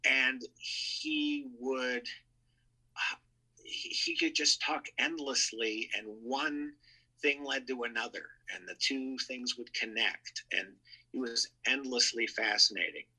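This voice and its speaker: Bright, forceful man with husky edge. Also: mid-range voice, slow, poor audio. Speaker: show contributor, entertainment writer. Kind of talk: reminiscing conversational tribute.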